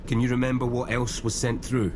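A man playing a shell-shocked lone survivor astronaut in audio fiction, stammering and halting as he speaks. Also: mid-range voice, level tone, close to an everyday voice. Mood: subdued, shaken. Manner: stammering, halting, breathless pleading. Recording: loud vehicle ambience noise behind.

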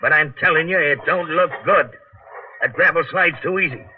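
An actor in a 1940s radio drama playing a cautious, middle-aged veteran tunnel digger and father, gruff and blunt, talking in rough working-class slang. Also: a little high, moderate pace, lightly acted. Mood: wary. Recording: muffled, noisy 1940s radio broadcast.

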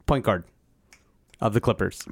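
A man in relaxed casual sports chat, using lots of fillers and often trailing off. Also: lowish voice, a little slow, vocal fry, breathy edge.

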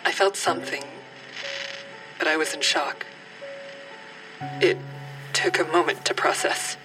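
Audio drama role: grieving mother and trauma survivor, halting testimony, somewhat distant mic, clear music bed. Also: low-pitched, fairly even intonation. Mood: somber, reflective. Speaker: actor in a fiction podcast.

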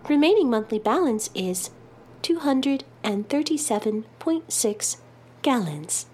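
A woman's voice: fairly high pitch, sing-song, unhurried, darkish tone. A woman voicing an obedient home artificial intelligence in a sci-fi audio drama, with clipped, formal announcements. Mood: neutral, helpful. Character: obedient home AI with a dead wife's voice.

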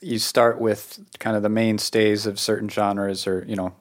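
Low-voiced man with a New England accent. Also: little pitch movement, normal speed. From New England, United States. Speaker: podcast host. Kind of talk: casual chat interview.

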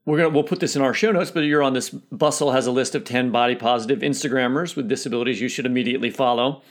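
A man's voice: medium pitch, steady tone, fast. A man hosting a conversational interview podcast, building long, wandering questions with frequent restarts.

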